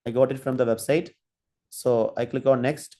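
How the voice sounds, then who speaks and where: Give me a man leading a faculty workshop, talking haltingly through a software demo. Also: mid-range voice, steady tone. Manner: halting, many fillers and restarts while demonstrating. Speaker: guest lecturer. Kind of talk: online faculty training lecture.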